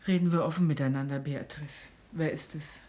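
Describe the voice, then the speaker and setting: A woman's voice: sits low, fairly even intonation, brisk, dark tone, a little hiss or hum, natural voice. Stern, sardonic, suspicious mother played by an actress. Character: suspicious, sharp-tongued middle-aged mother of a teenager. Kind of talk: radio play dialogue.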